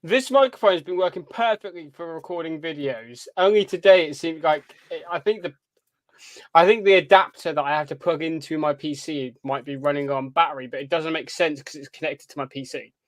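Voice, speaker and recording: A young British man chatting casually as a podcast co-host, explaining things earnestly in a halting way. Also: fairly high pitch, no room sound. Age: young adult. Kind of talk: casual chat.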